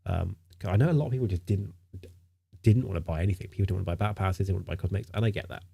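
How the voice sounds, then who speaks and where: A man's voice: deep voice, very fast, vocal fry, dark tone, breathy edge. A man streaming live in casual chat, sounding nasal from a stinking cold, rambling with lots of fillers and repeated words.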